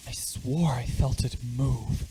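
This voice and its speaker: A man playing excitable, insistent radio-play father. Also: medium pitch, slow pace, a little hiss or hum, natural voice. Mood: startled, insistent. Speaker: high school theatre performer. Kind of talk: amateur radio play acting.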